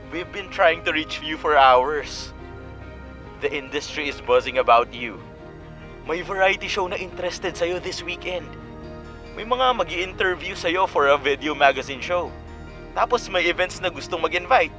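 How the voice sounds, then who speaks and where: An actor playing a pushy, flattering showbiz agent who coaxes in Taglish in a Filipino radio drama. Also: male voice, high-pitched, a little slow. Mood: effusive. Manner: effusive, coaxing, mixes English into Tagalog. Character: pushy, flattering male showbiz talent agent, gossipy and slick. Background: faint background music.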